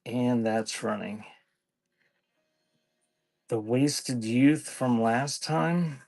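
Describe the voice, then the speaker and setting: A man running a casual live-stream sale, talking in an offhand, halting way and thanking viewers by name. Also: medium pitch, creaky, darkish tone, dry recording. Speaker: live online seller.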